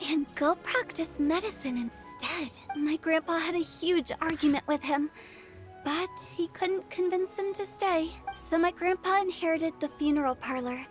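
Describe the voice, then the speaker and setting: A woman's voice: high voice, lightly acted. A woman voicing a playful, mischievous young funeral director in a video game, teasing and spinning old tales. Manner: teasing, theatrical, then storytelling. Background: game music.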